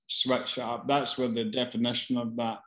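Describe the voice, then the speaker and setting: Casting note: man, casual casting-director Q&A, hesitant and restarting, mid-pitched, roomy echo. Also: flat delivery, a bit bright. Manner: halting, many fillers and restarts. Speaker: casting director answering questions. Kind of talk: casual Q&A advice.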